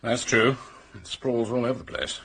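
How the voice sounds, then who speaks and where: An actor playing a cautious, practical police officer in a radio drama, husky and clipped as he talks through operations. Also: mid-range voice, moderate pace, pushed and bright, poor audio. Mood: cautious, doubtful. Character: businesslike, cautious male police officer giving operational details. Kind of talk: naturalistic radio drama dialogue.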